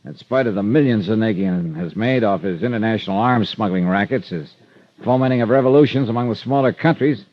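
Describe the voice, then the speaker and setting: A man's voice: on the low side, fairly quick, dry recording, close to an everyday voice. An American man playing a wry, hard-boiled freelance insurance investigator in clipped, confiding 1950s radio detective style. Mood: intent, explaining. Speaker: lead actor and narrator. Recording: muffled vintage radio recording with music.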